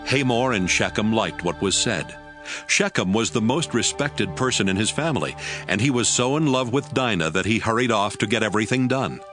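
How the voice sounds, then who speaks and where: A man's voice: on the low side, lively intonation, moderate pace, fairly bright tone. A man giving scripted narration of scripture as the narrator of an audio Bible. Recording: music bed under the narration.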